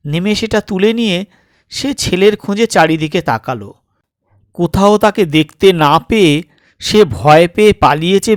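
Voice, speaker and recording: High-pitched man narrating a suspense story. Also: no room sound, studio quality. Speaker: audiobook narrator.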